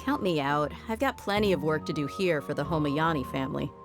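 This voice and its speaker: A woman's voice: low-pitched, animated, fairly quick, over music. A woman playing a tough, pragmatic young bodyguard in a video game, blunt and casual in a streetwise way. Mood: matter-of-fact, wary.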